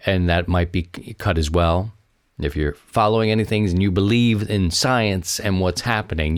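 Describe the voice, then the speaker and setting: Deep-voiced man hosting a casual podcast. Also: clear, resonant tone, dry recording, studio quality. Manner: rambling, conversational, addresses listeners as friends. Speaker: podcast host. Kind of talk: casual solo podcast talk.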